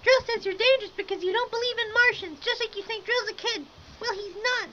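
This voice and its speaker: A man playing an excitable, stubborn little girl with a squeaky childlike voice, in 1950s radio drama style. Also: a little fast. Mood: insistent. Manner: eager, impatient, breathless child's chatter. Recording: dull lo-fi radio recording, faint hiss.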